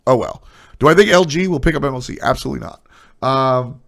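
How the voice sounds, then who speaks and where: A man's voice: medium pitch, fast talker, vocal fry, a bit bright. A man with a stuffy, nasal voice streaming casual, blunt opinions on esports, trailing off into asides.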